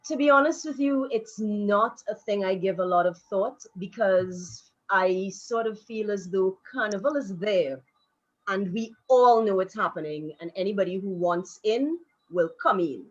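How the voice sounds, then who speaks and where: A woman answering as a panelist, thoughtful and frank, with measured phrasing. Her voice sits at an ordinary middle pitch. The audio is a little dull and lo-fi. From Trinidad and Tobago. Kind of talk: live online panel discussion.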